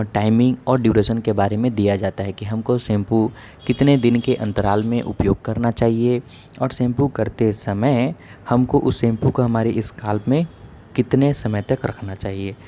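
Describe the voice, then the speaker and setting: Guy doctor explaining stuff point by point, lowish relaxed dark voice, bit of background noise. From India. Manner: plain, instructive, methodical. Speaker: dermatologist giving hair-care advice.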